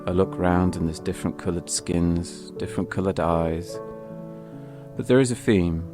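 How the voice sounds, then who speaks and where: A man narrating a first-person audio memoir in a reflective way, in short, measured phrases. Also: sits low. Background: music.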